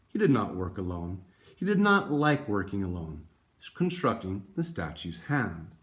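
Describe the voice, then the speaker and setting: A man with a fairly low voice hosts a children's picture book read-aloud. He addresses young listeners directly, with a deliberate storyteller's delivery. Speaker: read-aloud host for schoolchildren.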